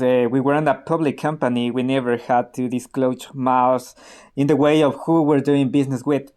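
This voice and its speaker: Man acting security outfit insider, medium-pitched, matter-of-fact, measured, candid, radio drama. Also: darkish tone, natural voice.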